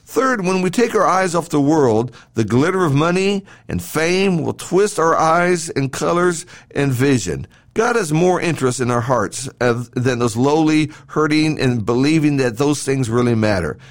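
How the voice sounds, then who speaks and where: Animated man reading a devotional sermon. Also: on the high side, normal speed, few pauses. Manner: earnest, emphatic, with rhetorical questions and repeated phrases. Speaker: podcast host and preacher. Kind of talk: devotional sermon read from a script.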